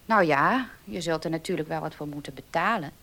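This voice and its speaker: A woman's voice: sits low, a little fast, fairly bright tone. An actress playing a kindly, superstitious older kitchen maid in a radio play, motherly and concerned. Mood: caring, concerned. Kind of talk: Dutch radio play acting.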